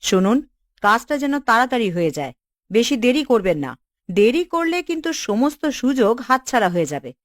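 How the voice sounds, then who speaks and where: A woman's voice: on the high side, sing-song, fairly quick, no echo, clean studio sound. A woman voicing a scheming, bossy government official in a cartoon, commanding and conspiratorial. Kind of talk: cartoon dialogue.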